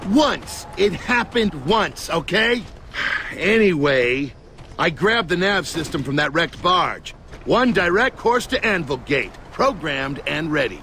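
An actor playing a sarcastic male soldier in a game cutscene, gruff and dry. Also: fairly high pitch, very animated, takes it slowly, bright, forceful tone, heavily acted. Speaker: soldier squad member. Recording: game audio with noisy battle ambience.

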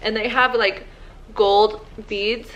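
A woman's voice: medium pitch, fairly even intonation, slow pace, a bit bright, dull audio. Chatty young woman filming at home. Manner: chatty, lots of "like" fillers. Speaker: vlogger. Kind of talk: casual shopping haul chat. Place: living room at home.

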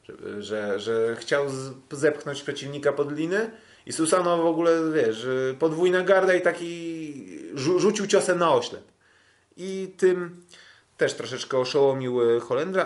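A Polish man co-hosting a sports podcast, recapping fights casually in a hesitant way with drawn-out fillers and restarts. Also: fairly high pitch. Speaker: podcast co-host, kickboxing commentator.

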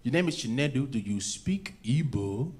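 A man's voice: mid-range voice, lively intonation, normal speed. A man with an American accent telling stand-up stories into a stage mic. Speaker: stand-up comedian. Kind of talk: stand-up storytelling. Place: indoor comedy club stage. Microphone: handheld stage mic. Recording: noisy live club recording, played back.

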